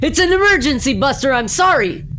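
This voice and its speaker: A woman's voice: high voice, big pitch swings, fairly quick. A woman playing a blunt, urgent male spaceship captain in an amateur sci-fi comedy radio play. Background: music.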